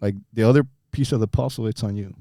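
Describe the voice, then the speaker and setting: A man's voice: fairly low pitch, quick pace, dark tone, no room sound, studio quality. A young man from Mexico, a podcast guest talking bluntly and swearing freely in casual chat.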